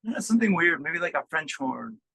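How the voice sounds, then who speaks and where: A man with a fairly high, notably bright voice, recorded dry and close to room-free. He talks casually as a musician being interviewed, pausing and working out his thoughts as he explains. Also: sing-song, gravelly, breathy edge, no room sound. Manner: halting, thinking aloud while explaining.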